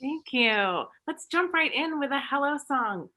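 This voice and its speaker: Woman, children's storytime teacher, upbeat, clear and slow, bright tone. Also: high-pitched, animated. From United States. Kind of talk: children's story time lesson.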